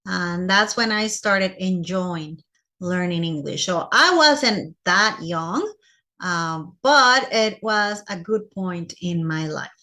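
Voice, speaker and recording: A woman's voice: lowish voice, expressive, takes it slowly, bright, forceful tone. A woman from Costa Rica with a Costa Rican Spanish accent in English, speaking as a teacher giving a personal presentation to fellow teachers.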